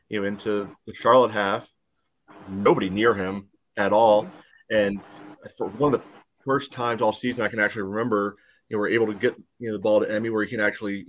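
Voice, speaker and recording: A low-voiced man gives casual sports analysis as a podcast co-host. His delivery rambles and is peppered with filler phrases, over a little background noise. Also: fairly bright tone. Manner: rambling, peppered with "you know".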